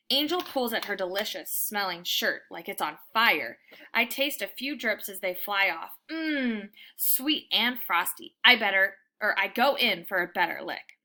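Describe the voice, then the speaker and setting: A woman reading a children's book aloud as storyteller, with expressive, dramatic and deliberate phrasing for young listeners. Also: medium pitch, a little hiss or hum.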